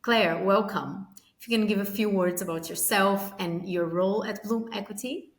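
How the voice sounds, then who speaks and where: A woman's voice: medium pitch, normal speed, roomy sound. A woman hosting an interview, introducing her guest and asking questions in an upbeat way. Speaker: host.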